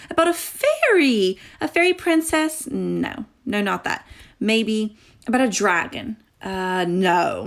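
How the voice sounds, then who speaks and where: American lady teacher doing a kids video lesson, creaky voice, asks the viewer questions, pretty expressive. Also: mid-range voice. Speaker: schoolteacher.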